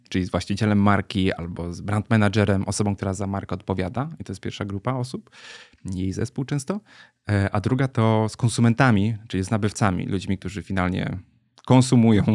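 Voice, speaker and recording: A man speaking as a podcast guest, explaining his work in a reflective, halting, conversational way. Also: on the low side, a little mellow, no echo, studio quality. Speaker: podcast guest, brand strategist. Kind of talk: thoughtful interview conversation.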